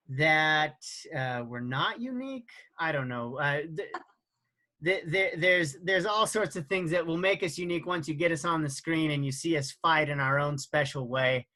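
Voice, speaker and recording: A man in his forties, the team captain, introducing his family team in a halting way with many fillers. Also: on the high side, sharp tone. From Idaho, USA. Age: 40s.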